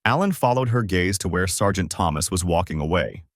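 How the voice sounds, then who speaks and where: A man with a fairly low voice reads a dramatic story in a very dry, close recording. His delivery is solemn and builds suspense, like a scripted narrator telling a tense tale. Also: lively intonation, fairly quick, no room sound.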